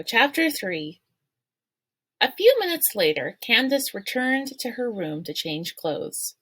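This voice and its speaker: Woman reader, children's novel read-aloud, steady storytelling, dry sound. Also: medium pitch, dry recording.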